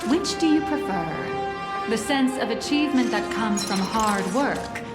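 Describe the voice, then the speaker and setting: Polished salesy company guide lady from a video game, highish voice, loud music behind. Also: animated, unhurried. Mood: persuasive. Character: polished, upbeat female corporate guide promoting mechatron building. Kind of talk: scripted video game dialogue. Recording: roomy sound under loud music.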